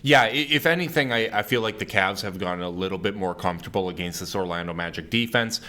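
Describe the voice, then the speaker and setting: Male sports podcast host, slightly hoarse and raspy; low, forceful delivery, emphatic casual analysis. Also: fast. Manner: energetic, emphatic basketball analysis.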